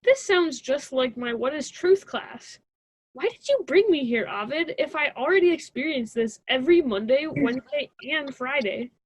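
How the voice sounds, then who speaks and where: Young woman acting a scheming college girl, high voice, dry sound, grand and dramatic, radio play. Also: expressive, normal speed, dry recording, rough recording. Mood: petulant. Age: young adult. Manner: grandiose, theatrical.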